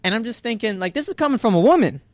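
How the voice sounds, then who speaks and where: A man's voice: extremely high pitch, big pitch swings, very fast, dry recording. A young man from New York, co-hosting a podcast and speaking earnestly and reflectively in casual chat. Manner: reflective, earnest, laughs easily. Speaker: podcast co-host.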